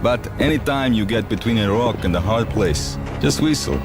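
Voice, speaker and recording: An actor playing a sly arms-dealing mobster in a game, talking with wry, showy gangster bravado. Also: male voice, on the low side, lively intonation, moderate pace, lightly acted. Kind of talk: game cutscene dialogue. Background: music.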